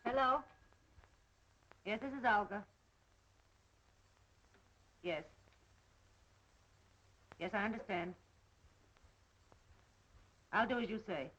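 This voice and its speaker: A woman's voice: medium pitch, lively intonation, takes it slowly, pauses often, a bit bright. An actress playing a guarded, obedient woman spy in a 1930s film, giving terse answers. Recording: dull 1930s film soundtrack.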